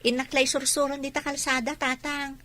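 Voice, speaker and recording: A woman's voice: high-pitched, little pitch movement, normal speed, fairly bright tone. A woman with an Ilocano accent playing a dutiful young fish seller and student in a radio drama.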